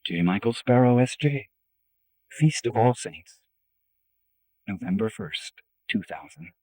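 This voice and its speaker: A man's voice: fairly low pitch, lively intonation, takes it slowly, a little mellow, husky. A man, a priest, reading a scripted audiobook dedication on a recording that sounds distant.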